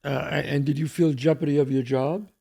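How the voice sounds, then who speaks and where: A man's voice: a little high, steady tone, fairly quick, creaky, dark tone, slightly rough. An older man hosting a casual talk show, blunt and emphatic, swearing freely. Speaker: podcast host. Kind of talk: casual chat.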